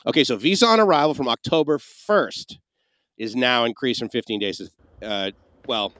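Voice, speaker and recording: A man's voice: mid-range voice, normal speed, fairly bright tone, no room sound. A man co-hosting a livestream Q&A, chatting casually and rambling with asides and restarts. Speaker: livestream co-host. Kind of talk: casual Q&A chat.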